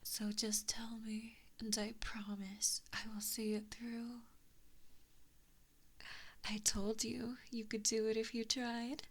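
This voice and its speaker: A woman voicing an evil, possessive giant fox spirit in a slow ASMR roleplay, whispering softly and breathily with mockingly sweet menace, close to a binaural mic. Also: fairly high pitch, little pitch movement. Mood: teasing, patronizing. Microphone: close binaural microphone.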